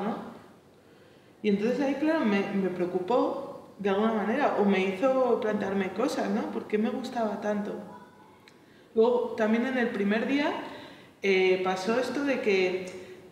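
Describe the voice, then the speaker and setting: A woman's voice: mid-range voice, a little mellow, a little hiss or hum. Woman, 30s, hesitant reflective seminar talk; distant mic, roomy echo. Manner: hesitant, thinking aloud, frequent tag questions. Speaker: choir workshop leader. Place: large bright seminar room. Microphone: far camera mic. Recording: echoey room from far off.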